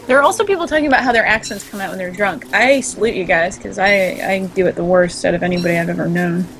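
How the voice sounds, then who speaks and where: A woman's voice: fairly low pitch, animated, quick pace, a bit bright, a little hiss or hum, low-quality recording. A woman with a Boston accent, co-hosting a casual game stream, joking and laughing often as she explains game lore. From Boston area. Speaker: co-host. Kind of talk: casual stream chat over gameplay.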